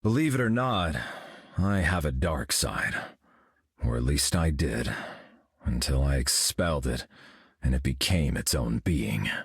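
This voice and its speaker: An actor voicing a serene, solemn golden cosmic hero for a video game, speaking in a calm, measured, grave way. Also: male voice, extremely low pitch, breathy edge, no echo, clean studio sound, heavily acted.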